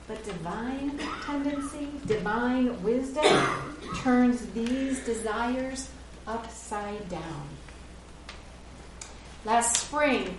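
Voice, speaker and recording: A woman's voice: fairly high pitch, slight background noise. A middle-aged woman pastor preaching a sermon in a church, earnest and conversational, sometimes correcting herself mid-sentence. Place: church sanctuary. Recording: roomy echo, heard from a distance.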